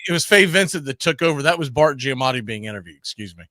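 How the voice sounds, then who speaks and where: A man's voice: mid-range voice, expressive, fast talker, background noise. A man hosting a casual sports debate, speaking emphatically and repeating phrases to press his point. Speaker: sports talk host. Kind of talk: casual argumentative sports discussion.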